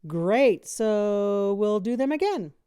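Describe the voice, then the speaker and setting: A woman reading a children's story, voicing an energetic, no-nonsense woman coach with an emphatic, driving delivery. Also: medium pitch, slow, clean tone, dry recording, studio quality. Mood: brisk, pleased. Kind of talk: children's audiobook character voice.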